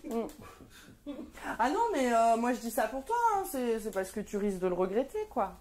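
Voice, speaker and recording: A woman's voice: fairly high pitch, lively intonation, normal speed, darkish tone, close to an everyday voice. A woman voicing a nosy acquaintance who keeps pressing with falsely concerned, insistent chatter. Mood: pushy. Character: pushy, nosy acquaintance nagging about having children.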